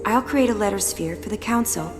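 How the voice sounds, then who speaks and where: An actress playing a gentle, apologetic, dutiful young woman summoner in a video game. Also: mid-range voice, a little fast, natural voice. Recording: echoey hall reverb with music.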